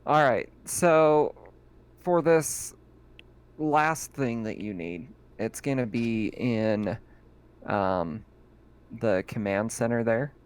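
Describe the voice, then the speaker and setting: A man's voice: mid-range voice, takes it slowly, clean tone. A man running a tabletop game as game master, talking loosely with plenty of ums and restarts. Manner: loose and chatty, many fillers and restarts.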